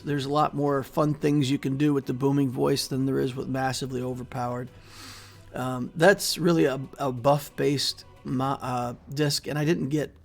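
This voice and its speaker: A middle-aged man talks through his mobile game progress in an easygoing, rambling way, often pausing on fillers. His voice sits a bit high and has a gravelly edge. He is recorded at his desk in a small room. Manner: relaxed, steady walkthrough with frequent fillers and trailing off. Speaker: mobile game channel host. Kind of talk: casual gameplay commentary.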